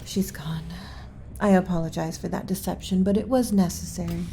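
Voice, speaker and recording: A woman playing a gentle, submissive alien servant in an ASMR roleplay, speaking softly and breathily with hesitant, deferential pauses and some whispering. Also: lowish voice, fairly even intonation, a little hiss or hum. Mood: worried, confiding. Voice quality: soft, breathy with whispered stretches. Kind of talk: ASMR roleplay, soft intimate delivery.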